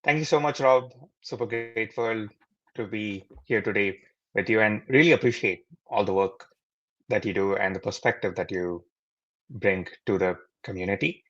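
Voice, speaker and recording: A man from India answers interview questions in an earnest, explaining way. His voice sits low. The sound is dull and lo-fi, like audio from a video call. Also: normal speed, a bit bright. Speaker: guest.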